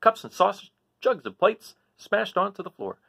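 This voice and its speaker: A man's voice: high voice, very animated, normal speed, vocal fry, pushed and bright, voice pushed up, full character voice. A man voicing a folksy little dog who narrates a scary adventure in rural American dialect, as in a children's read-aloud. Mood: breathless, scared.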